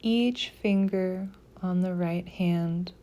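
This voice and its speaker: Slow, soft, mellow-voiced woman guiding meditation. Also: fairly low pitch. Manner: soft, gentle, deliberate phrasing with pauses. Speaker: meditation guide. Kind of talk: guided meditation, scripted soothing instruction.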